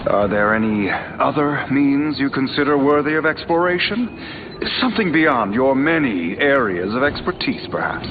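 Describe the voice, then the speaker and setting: A man's voice: medium pitch, sing-song, normal speed, lightly acted. Muffled, noisy, smug sorcerer played by a man. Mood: smug, teasing. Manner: arch, sardonic, articulate. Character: urbane, smug male sorcerer, dryly teasing. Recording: muffled, clearly noisy game ambience.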